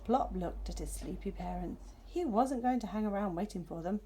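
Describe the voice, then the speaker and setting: A woman gently and expressively narrating a children's story as its reader. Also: mid-range voice, moderate pace, slight background noise.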